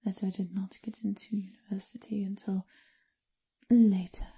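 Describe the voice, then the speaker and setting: A woman playing a doting, teasing, refined vampire mistress in an intimate ASMR roleplay, whispery and husky. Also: mid-range voice, little pitch movement, normal speed, mellow. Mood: wry, confiding.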